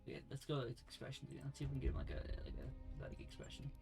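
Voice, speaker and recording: A man's voice: mid-range voice, sing-song, rapid-fire, gravelly, dark tone, faint music behind. A man hosting a let's play, chatting casually with a co-host. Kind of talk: casual gameplay chat with a co-host.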